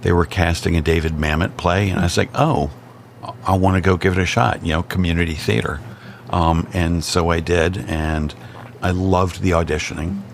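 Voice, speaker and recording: A man's voice: sits low, fairly even intonation, moderate pace, gravelly, a little hiss or hum. An American man with an easygoing, storytelling manner, chatting as a guest on a local talk show. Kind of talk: casual chat.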